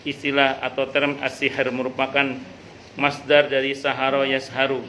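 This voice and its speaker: A middle-aged man, an Islamic teacher giving an informal, conversational study talk with jokey anecdotes and some halting repetition. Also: medium pitch, monotone, sharp tone. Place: a private home hosting a study gathering. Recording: noisy live recording.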